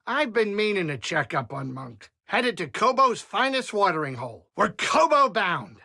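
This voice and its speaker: High, animated, grumbling alien starship pilot. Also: male voice, normal speed, vocal fry, dry recording, studio quality, full character voice. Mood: brisk. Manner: folksy wisecracking banter, exasperated grumbling. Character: grumbling, good-hearted alien starship pilot and saloon owner. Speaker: video game voice actor. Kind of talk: animated video game character dialogue.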